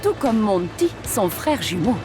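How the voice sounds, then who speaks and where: A woman's voice: mid-range voice, big pitch swings, unhurried. A woman, reading scripted narration for a children's cartoon. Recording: music behind the voice.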